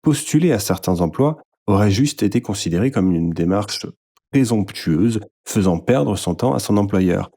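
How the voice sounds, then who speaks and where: A man reading a nonfiction book aloud as scripted narration, in a measured, formal way. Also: lowish voice, mellow. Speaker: audiobook-style reader.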